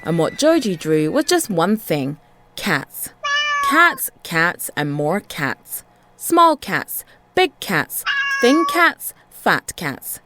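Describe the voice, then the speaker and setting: Animated woman storyteller. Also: fairly low pitch. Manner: dramatic, rhythmic delivery with repeated phrases. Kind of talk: expressive children's storytelling.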